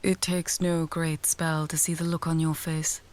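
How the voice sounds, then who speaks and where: A woman playing a wry, confident mage and healer in a fantasy audio tale, speaking in a measured, articulate, dryly teasing way. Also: sits low, flat delivery. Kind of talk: fantasy audio roleplay, addressing the listener.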